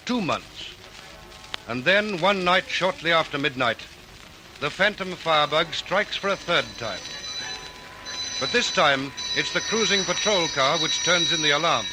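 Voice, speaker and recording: A man narrating a scripted crime drama in a formal, deliberate storytelling manner. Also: a little high, poor audio. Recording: narration over prominent music.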